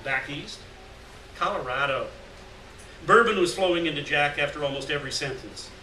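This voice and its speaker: A man's voice: on the high side, moderate pace, sharp tone. A middle-aged man reading a short story aloud at a live event, expressively and acting out the dialogue. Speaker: reader at a live literary event. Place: small indoor gallery, live event. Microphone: gooseneck mic at lectern, far camera. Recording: roomy, distant mic, faint background noise.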